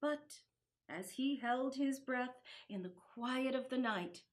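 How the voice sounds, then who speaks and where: Very slow, high-voiced woman storyteller. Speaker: storyteller reading a children's Christmas book. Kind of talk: storybook narration.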